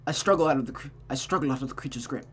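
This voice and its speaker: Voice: medium pitch, very fast, husky. A streamer reading a visual novel aloud expressively, with dramatic narration and casual asides. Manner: expressive, often restarts misread sentences.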